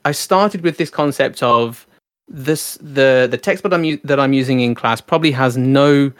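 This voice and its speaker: A man's voice: medium pitch, moderate pace, a bit bright, clear, resonant tone, no echo, clean studio sound. A man hosting a podcast interview, speaking casually with fillers and often affirming his guest. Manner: friendly, uses many fillers and affirming backchannels. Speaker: podcast host.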